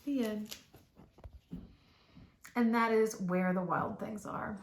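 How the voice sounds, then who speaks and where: A woman's voice: mid-range voice, fairly even intonation, takes it slowly, slight background noise. A middle-aged woman, a school librarian, reading a picture book aloud expressively to children.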